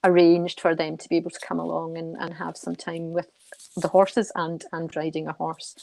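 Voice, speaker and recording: Low-pitched woman, halting and even. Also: gravelly. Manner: hesitant, halting delivery with repeated words. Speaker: interview guest, charity trustee and volunteer riding coach. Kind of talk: informal radio interview.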